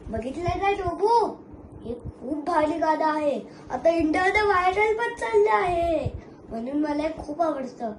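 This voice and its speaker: Little kid doing a stage play bit, high voice, echoey room, bit of noise. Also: expressive, measured pace. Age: child. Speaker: competitor in a one-act play contest. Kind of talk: amateur theatrical monologue, addressing audience.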